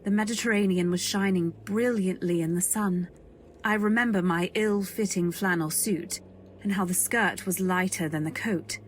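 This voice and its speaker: A woman's voice: on the low side, normal speed, a little hiss or hum, lightly acted. A woman playing a modest, earnest young companion, narrating and speaking politely and wistfully in a radio drama. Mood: nostalgic. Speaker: narrator and heroine. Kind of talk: first-person narration in old-time radio drama.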